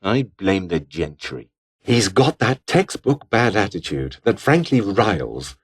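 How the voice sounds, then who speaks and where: A bitter, scheming British aristocrat turned rebel officer for a video game. His fairly low voice swings widely in pitch as he talks in clipped, formal phrases. He sounds pompous and sneering throughout. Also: very animated, measured pace, breathy edge, full character voice. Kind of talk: video game character dialogue.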